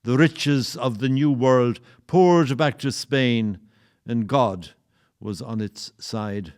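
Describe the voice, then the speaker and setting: An actor as the solemn narrator of an audio play, delivering scripted narration in a portentous, theatrical way. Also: male voice, medium pitch, slow pace, darkish tone, smooth, close to an everyday voice. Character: solemn storyteller introducing and presiding over the play.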